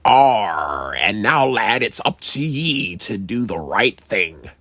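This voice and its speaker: A storyteller putting on a gravelly old pirate, full of gruff pirate talk. His voice is husky and swings up and down, recorded close and dry. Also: mid-range voice, sing-song, slow pace, fairly bright tone, no echo, heavily acted. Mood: rousing. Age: older. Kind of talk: children's storybook read-aloud.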